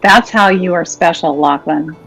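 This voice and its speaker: A woman's voice: lowish voice, measured pace, low-quality recording. A woman reading a children's picture book aloud. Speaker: reader. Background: soft music.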